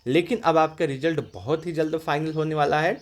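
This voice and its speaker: A man's voice: a little high, fairly even intonation, fairly quick. A man giving an informal, step-by-step explanation as a video presenter, often repeating his points. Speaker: exam-update video presenter. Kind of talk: informal explanatory talk to exam candidates.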